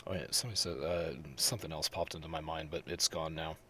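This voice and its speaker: Deep-voiced man in blunt podcast chat. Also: little pitch movement, fast talker, sharp tone, husky, dry recording. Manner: blunt, profane, rambling. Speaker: podcast co-host. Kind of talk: casual gaming news chat.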